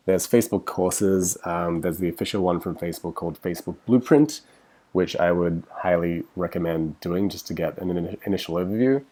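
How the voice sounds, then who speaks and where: A man's voice: low-pitched, warm tone. An Australian man with a cold, presenting a marketing webinar over slides in a casual way, with lots of ums and restarts. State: has a cold, sniffling.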